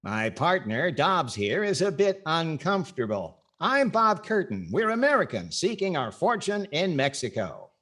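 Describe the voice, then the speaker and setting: A man's voice: a little high, sing-song, measured pace, hardly any pauses, pushed and bright, full character voice. A man acting as a dry, wry comedian host and narrator in scripted 1940s radio comedy.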